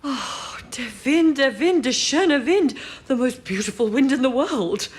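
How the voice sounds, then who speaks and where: An actress playing a measured, modest female wartime codebreaker in an audio drama. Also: sits high, expressive, breathy edge, full character voice. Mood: elated.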